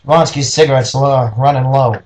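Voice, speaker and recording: A man's voice: mid-range voice, fairly even intonation, brisk, darkish tone. A man reading scripted noir detective story narration as the narrator.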